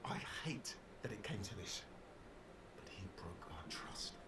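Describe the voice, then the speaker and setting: An actor playing a weary, dutiful, conflicted expedition officer recording a solemn journal in a video game. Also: male voice, lowish voice, big pitch swings, recorded from a distance, crowd noise. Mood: conflicted, grave. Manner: grave, solemn, deliberate.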